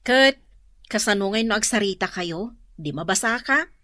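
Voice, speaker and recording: A woman acting an excitable, gossipy village housewife with an Ilocano accent in a comedy radio drama, exclamatory and quick to laugh. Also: mid-range voice, no room sound, studio quality.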